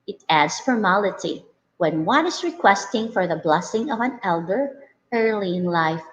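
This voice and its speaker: Slow, encouraging Filipino woman teacher. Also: mid-range voice. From Mindanao, Philippines. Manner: encouraging, reads items aloud then explains. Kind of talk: online lesson. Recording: roomy, dull lo-fi audio.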